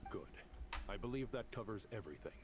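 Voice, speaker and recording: Man playing a low-voiced, formal council leader. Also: expressive, noisy background, lightly acted. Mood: formal, composed. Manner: formal, measured. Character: composed, formal male scholar leading a council. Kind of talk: video game voice acting.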